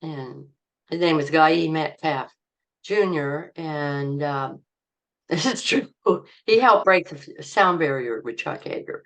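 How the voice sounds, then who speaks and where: An older woman speaking slowly in a low voice, a comedian sharing life stories with an interviewer. She rambles and halts with fillers and laughs often as she goes. Her talk is low and unhurried. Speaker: comedian guest. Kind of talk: casual interview storytelling.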